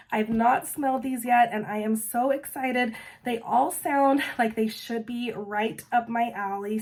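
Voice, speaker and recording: Chatty woman, voice still recovering. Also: a little high. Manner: chatty, enthusiastic, describes scents slowly. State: recovering voice after illness. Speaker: vlogger reviewing scented wax. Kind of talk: casual product review.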